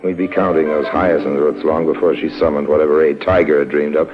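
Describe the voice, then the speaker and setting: An actor playing a suave, wry con-artist adventurer in an urbane, sardonic way, in an old-time radio drama. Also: male voice, extremely low pitch, level tone, a little fast. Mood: wry narration. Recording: muffled vintage radio recording, faint music.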